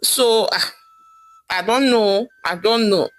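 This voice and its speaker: A woman's voice: medium pitch, slow, no echo, close to an everyday voice, poor audio. A woman voicing a carefree wife with a Nigerian accent, nonchalant and teasing. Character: carefree wife enjoying her husband's absence.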